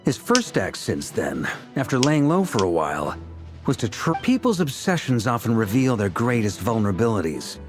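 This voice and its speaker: A man playing a confident, laid-back military leader in a video game, speaking scripted lines in a measured, reassuring and deliberate way. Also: mid-range voice, sing-song, music in the background, natural voice. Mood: resolute.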